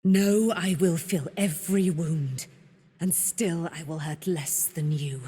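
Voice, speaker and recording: A woman's voice: deep voice, slow pace, mellow, breathy edge, dry recording, full character voice. A woman playing a proud immortal paladin, a moon goddess's warrior daughter, in a fantasy video game, speaking in grand, archaic, theatrical declamation. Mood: bitter.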